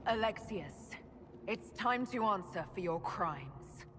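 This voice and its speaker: An actress playing a composed, determined mage heroine in a video game, with firm, measured and dry delivery. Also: female voice, medium pitch, animated, big-room sound, background noise. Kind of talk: dramatic video game acting.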